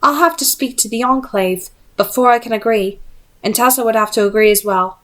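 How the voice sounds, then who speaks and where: A woman reader gives voice to a female leader of demon hunters in a storybook. She is cautious and protective, slow to agree and firm with her charges. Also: on the high side, a bit bright, close to an everyday voice. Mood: doubtful. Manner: reluctant, firm.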